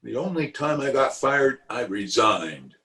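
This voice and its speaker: A man's voice: mid-range voice, big pitch swings, bright, forceful tone, heavily acted. A man playing a pompous, blustering middle-aged uncle in an old-time radio comedy, drawing his words out indignantly. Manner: pompous, indignant, drawn-out delivery. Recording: remote home recording over video call.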